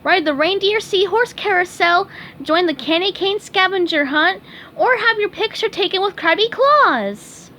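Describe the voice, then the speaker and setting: A woman reading a storybook aloud, voicing an excitable, anxious male sea sponge fry cook with a squealing voice. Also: very high-pitched, a little slow, a little hiss or hum, voice pushed up, exaggerated voice. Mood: cheery.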